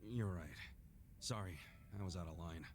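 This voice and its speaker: An actor playing an earnest, blunt, big-hearted tough-guy hero in a video game, with a gruff, hearty voice. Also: low-pitched, moderate pace, music in the background, close to an everyday voice. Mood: warm, tipsy. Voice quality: gruff, gravelly. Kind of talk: video game cutscene acting.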